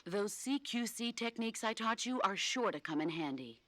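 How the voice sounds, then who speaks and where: An actress playing a composed, solemn female war-hero mentor in a video game, measured and authoritative. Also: a little high, lively intonation, fairly bright tone, dry recording. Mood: fond, serious. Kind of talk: video game cutscene acting.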